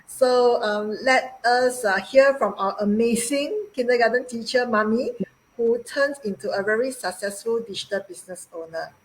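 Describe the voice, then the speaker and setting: A woman's voice: on the high side, moderate pace, rough recording. A woman from Singapore in her forties, hosting a live-stream interview in an upbeat, effusive way with frequent "uh" and "um" fillers. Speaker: host.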